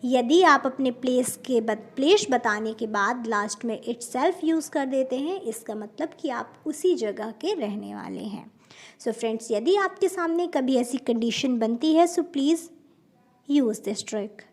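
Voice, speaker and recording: A woman from India teaching spoken English in Hindi, slow and careful, often calling her viewers friends and mixing in English words. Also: fairly high pitch, animated.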